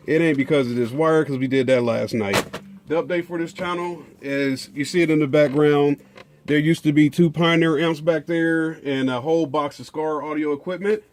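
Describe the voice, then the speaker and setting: A man's voice: fairly high pitch, darkish tone. A man, chatting casually as he unboxes car audio gear, rambling with lots of fillers and restarts.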